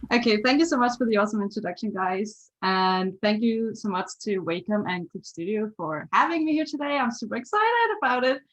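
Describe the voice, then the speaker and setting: A woman's voice: medium pitch, animated, brisk, few pauses, fairly bright tone. A woman with a Danish accent giving a chatty, upbeat webinar presentation over a video call, laughing often. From Denmark. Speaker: guest artist presenter.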